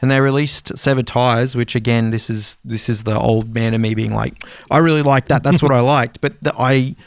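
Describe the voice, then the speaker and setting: A man in his thirties with a clear, smooth voice and an average pitch. He talks as a podcast co-host, rambling and swearing casually, recorded very dry with no room sound. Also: steady tone, moderate pace, dry recording. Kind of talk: casual music podcast chat.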